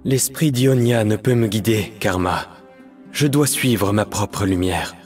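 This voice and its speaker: An actor playing a melancholic painter mage torn between light and darkness, speaking solemn, measured, poetic lines for a video game. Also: male voice, on the low side, a little mellow. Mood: solemn, resolute. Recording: music behind the voice.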